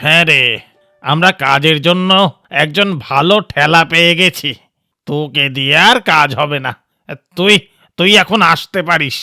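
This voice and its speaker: A man voicing a smug older male farmer in an animated storybook, gloating and chuckling. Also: a little high, moderate pace, gravelly, pauses often, no echo, studio quality, heavily acted. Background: background music with sitar.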